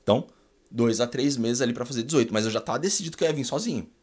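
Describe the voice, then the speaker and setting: A Brazilian man in his forties with a São Paulo accent, telling his life story in a casual, animated way in a small room at home and often ending lines with a quick "tá?" or "né?". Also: mid-range voice, slightly rough. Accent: São Paulo Brazilian Portuguese. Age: 40s. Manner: animated, gestures a lot, tags lines with "tá?" and "né?". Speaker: vlogger.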